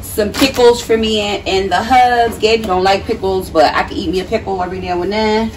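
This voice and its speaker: A woman's voice: medium pitch, steady tone, a little fast. A woman narrating a grocery haul casually, as a home vlogger. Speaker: vlogger, mother. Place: home kitchen, indoors. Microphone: camera mic at a short distance. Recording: distant mic, clearly noisy home recording.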